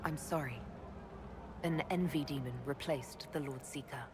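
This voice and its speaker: An actress playing a composed, determined fantasy heroine in a video game, measured and direct. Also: female voice, deep voice, noisy background, natural voice. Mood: firm composure. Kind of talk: dramatic video game voice acting.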